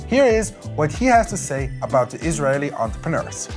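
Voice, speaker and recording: A man's voice: on the high side, very animated, a little fast, over music. A man hosting a vlog, narrating in an upbeat, promotional way. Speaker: vlog host.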